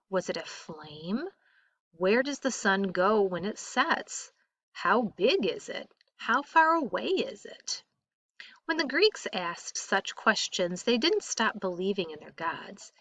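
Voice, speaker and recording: Woman, teacher, textbook read-aloud, unhurried pace, crisp bright tone, emphatic phrasing. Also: medium pitch, dry recording.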